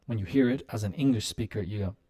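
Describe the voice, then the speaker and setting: A man's voice: lowish voice, warm tone. Man teaching on a live stream. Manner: explains slowly with many fillers. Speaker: English teacher. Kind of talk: live-stream language lesson.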